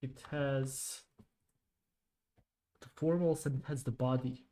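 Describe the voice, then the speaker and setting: A man thinking aloud while debugging code on a stream, halting and muttering to himself, often trailing off. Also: medium pitch, fairly even intonation, warm tone. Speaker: programming streamer.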